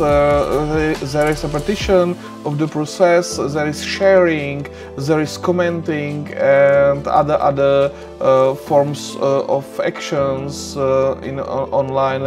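A middle-aged man with a Czech accent, lecturing over slides in a small room, hesitant with many fillers and restarts. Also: medium pitch. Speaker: university lecturer.

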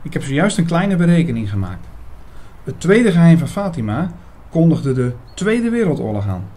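A man's voice: on the high side, very animated, normal speed, dark tone. A man playing an attentive investigator who narrates his own story in a scripted radio drama. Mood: engaged, appreciative. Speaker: narrator and lead actor in an audio drama.

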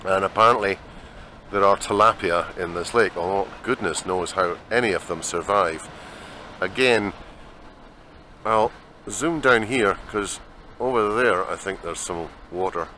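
Scottish male vlogger, lowish bright voice, chatty, faint outdoor hiss on a camera mic. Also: unhurried. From Scotland. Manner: chatty, rambling. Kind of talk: walking travel vlog narration. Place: outdoors in open desert.

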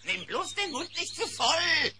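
A man's voice: very high voice, big pitch swings, unhurried, background noise, heavily acted. An actor playing a grumpy, stubborn bear handyman in a cartoon radio play, with a sarcastic manner.

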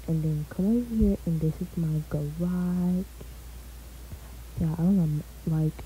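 Chill young woman, super mellow lowish voice, bit of hiss behind. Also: poor audio. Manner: laid-back, chatty, trailing off with "so yeah". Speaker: gaming vlogger. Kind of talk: casual game house tour. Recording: faint hiss.

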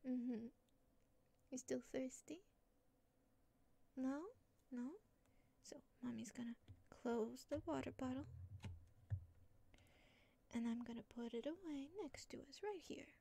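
A woman speaking in soft, breathy whispers in a soothing ASMR roleplay as a comforting motherly caretaker. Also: high-pitched, takes it slowly, long pauses. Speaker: ASMR creator.

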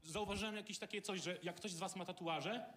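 A man's voice: high-pitched, fairly even intonation, fairly quick, a bit bright, a little hiss or hum. A young man trash-talking on a fight press conference panel, provocative and cocky, swearing heavily. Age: young adult. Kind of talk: heated trash talk.

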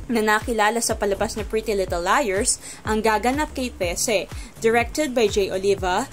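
Showbiz news reader, woman, higher voice, light music bed. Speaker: entertainment news presenter. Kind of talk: scripted news voice-over.

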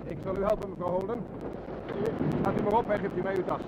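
An actor playing a brisk, firm police sergeant on duty in a Dutch radio play. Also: male voice, sits high, fairly even intonation, lightly acted. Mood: brisk, businesslike. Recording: loud vehicle and water noise.

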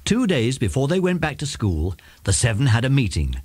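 A man narrating a scripted children's story in an expressive storytelling manner. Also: mid-range voice, normal speed, breathy edge, no echo.

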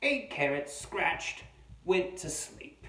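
A man voicing a sleepy, demanding wombat in an attempted Australian accent, reading its diary from a picture book in short deadpan statements. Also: on the high side, slow pace, pitched above the performer's own voice, exaggerated voice. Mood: demanding, pleased. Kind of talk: children's picture book read-aloud. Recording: roomy, distant mic, faint background noise.

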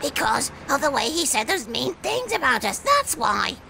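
A woman doing a hurt, gravelly young cement mixer. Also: high voice, big pitch swings, normal speed, pushed and bright, a little hiss or hum, heavily acted. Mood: indignant. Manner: indignant, wounded.

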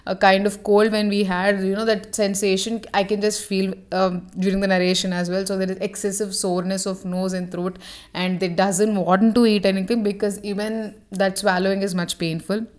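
A woman teaching homeopathy, reading short passages aloud and then explaining them in her own words, with frequent fillers and self-corrections. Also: medium pitch. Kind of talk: reading and explaining a reference text.

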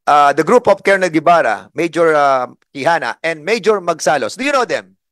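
A man's voice: fairly high pitch, lively intonation, fairly quick, a bit bright, dry recording. A Filipino man chairing a congressional hearing, questioning a witness sternly in English and Tagalog. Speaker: committee chair.

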